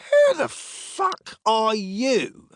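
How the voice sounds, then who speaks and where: A man voicing an irritable, hoarse Roman centurion in an audiobook, gruff and coarse in the way he talks. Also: on the high side, huge pitch swings, very slow, sharp tone, pitched above the performer's own voice, full character voice. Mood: rattled.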